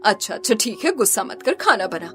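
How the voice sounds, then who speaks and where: A woman's voice: medium pitch, very animated, a little fast, fairly bright tone, soft background music. A woman voicing a nagging, fretful middle-aged mother in a cartoon. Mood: coaxing.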